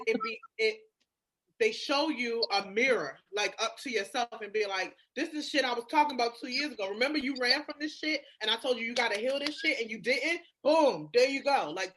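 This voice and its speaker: A woman in a candid, casual podcast chat, expressive and slangy, swearing freely. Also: a little high, normal speed, rough recording.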